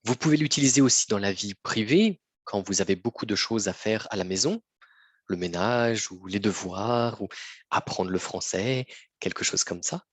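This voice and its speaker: Male teacher, patient and repetitive, dry close sound, low-ish breathy voice. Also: moderate pace, no echo. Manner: patient, repeats phrases, often checks with "d'accord?". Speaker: French teacher. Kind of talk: slow lesson for learners.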